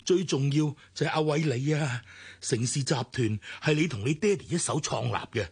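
A man's voice: fairly high pitch, normal speed. A man with a Hong Kong Cantonese accent, playing a worried, middle-aged company director who sighs and pleads in a radio drama. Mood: urgent, pleading. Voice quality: husky, sighing.

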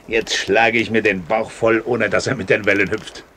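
A big strong easygoing sailor, lowish voice, plain talker, slight hiss on the old radio tape. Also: male voice, flat delivery, normal speed, bright, forceful tone. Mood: contented. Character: big, bear-strong, easygoing Dutch seaman who loves food. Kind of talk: German radio play acting.

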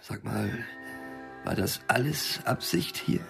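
An actor playing a scheming, nervous small-time crook in a radio crime play, with an evasive, muttering manner. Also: male voice, lowish voice, expressive, slow pace, gravelly, music in the background, exaggerated voice. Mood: nervous, defensive.

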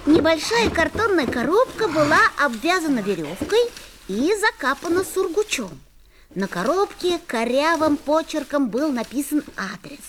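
Boy narrator, children's audio play: high, lively, wide-eyed, expressive. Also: slight background noise, full character voice. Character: young boy narrating his magical adventures, wide-eyed.

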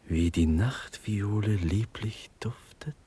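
An actor playing a fragile, rapt young prince in a classical verse radio drama, speaking in halting, breathy swells. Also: male voice, lowish voice, heavily acted. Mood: dreamy, entranced. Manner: hushed, breathy, halting declamation with sudden swells. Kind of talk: classical German stage-verse radio acting.

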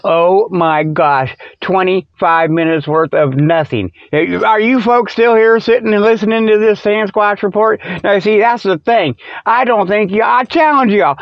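A man hosting a comedy radio show in a rambling, ad-libbed monologue with mock sales pitches, folksy and jokey, chuckling at himself. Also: no echo, muffled recording.

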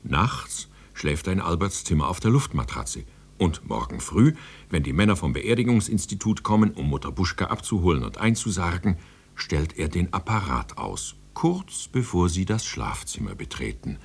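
A reporter narrating a radio play from the scene. His voice is low with very lively intonation, chatty yet matter-of-fact, in breezy mock reportage. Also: normal speed, vocal fry, slightly rough, exaggerated voice.

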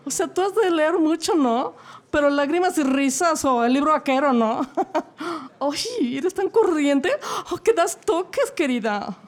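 A woman's voice: high-pitched, animated, a little slow. A woman with a Mexico City accent playing a snobbish, mocking young woman who drawls and drops English words, giggling as she talks. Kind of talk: comedic radio play acting.

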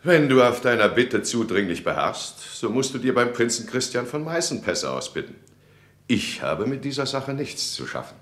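An actor playing a grave, righteous high chancellor in a German radio drama, stately and admonishing. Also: male voice, sing-song, normal speed, a bit bright, slight background noise. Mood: stern. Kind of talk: German radio drama acting, formal oratory. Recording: roomy studio radio drama recording.

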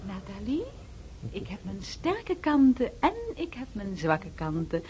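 A woman's voice: medium pitch, sing-song, measured pace, warm tone. An actress playing a self-dramatising woman in a radio play. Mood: self-justifying. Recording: noisy old radio recording.

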